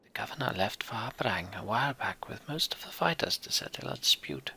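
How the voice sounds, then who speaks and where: A man voicing a composed, cautious female mender, a town envoy in a fantasy game, in a measured, formal way. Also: fairly low pitch, sing-song, gravelly, pushed and bright, slightly rough, exaggerated voice. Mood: concerned.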